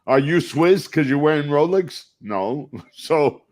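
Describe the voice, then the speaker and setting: A man's voice: on the high side, lively intonation, takes it slowly, a little mellow. A man chiming in on a casual livestream chat with wry, teasing asides.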